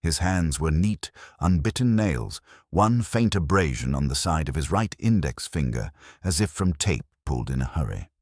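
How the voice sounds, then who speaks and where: Guy reading a detective story, super deep husky voice, formal, really dry close sound. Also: big pitch swings, normal speed, hardly any pauses, dry recording. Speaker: audiobook narrator. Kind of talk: scripted detective story narration.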